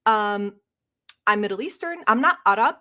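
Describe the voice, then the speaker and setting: A woman's voice: mid-range voice, expressive, moderate pace, pushed and bright. A woman born in Turkey, Turkish her first language, chatting casually on a live stream, laughing often and reading chat aloud. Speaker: reaction streamer.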